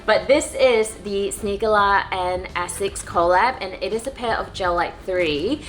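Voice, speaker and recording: A woman's voice: medium pitch, unhurried, fairly bright tone, poor audio. A woman from Malaysia chatting casually and enthusiastically to camera as a vlogger.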